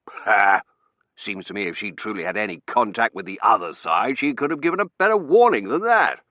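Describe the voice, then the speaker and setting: A man's voice: mid-range voice, normal speed, gravelly, sharp tone, dry recording, highs cut off, voice pushed up. A man voicing a blustering older Victorian colonel.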